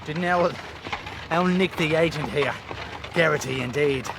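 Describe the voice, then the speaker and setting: Garrulous Irish servant, fairly high voice. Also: male voice, unhurried, creaky. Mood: bitter, gossipy. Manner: chatty, colourful, deferential. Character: garrulous, deferential Irish servant and coach driver. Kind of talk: radio drama acting. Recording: noisy outdoor vehicle sound effects.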